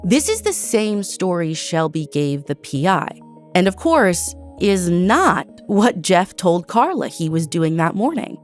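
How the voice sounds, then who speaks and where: A woman's voice: on the low side, big pitch swings, measured pace, faint music behind. An American woman hosting a true crime podcast, reading a scripted narration of a case.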